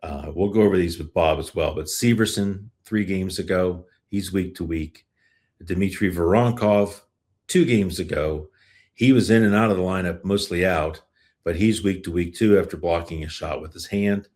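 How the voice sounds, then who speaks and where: Low-voiced man hosting a sports podcast. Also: moderate pace, a little mellow. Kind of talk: casual sports commentary and interview.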